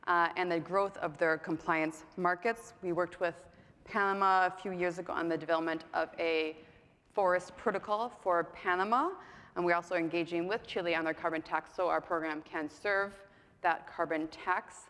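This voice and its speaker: A woman's voice: lowish voice, fairly even intonation, normal speed, a bit bright. A woman moderating a conference panel, introducing speakers in a chatty way with frequent ums and self-deprecating asides. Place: conference hall. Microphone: mic on stage. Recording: echoey hall.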